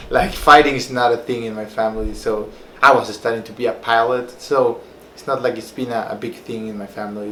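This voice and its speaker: A man's voice: on the low side, fairly bright tone, echoey room, slight background noise, poor audio. A young man from Peru, a personal trainer telling his life story in a loose, rambling way, full of fillers. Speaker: personal trainer and former fighter. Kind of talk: casual personal story to camera.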